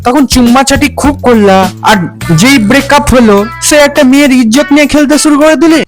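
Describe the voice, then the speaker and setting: A man's voice: very high-pitched, brisk. A man delivering a mocking meme-review roast, full of crude slang and sarcastic teasing. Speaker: roast video host. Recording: music bed under voice.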